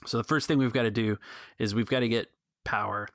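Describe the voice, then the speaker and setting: A man playing an earnest cantina keeper in a tabletop space adventure, urging rebellion in a halting, persuasive way. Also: lowish voice, steady tone, no echo, lightly acted. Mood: conspiratorial, earnest.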